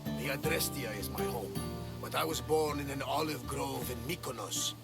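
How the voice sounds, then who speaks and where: An actor playing a weathered, hearty one-eyed sea captain and former soldier in a video game, telling things in a theatrical, expansive way with a gravelly voice. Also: male voice, medium pitch, normal speed, natural voice. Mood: grave, haunted. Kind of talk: video game cutscene acting. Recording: music behind the dialogue.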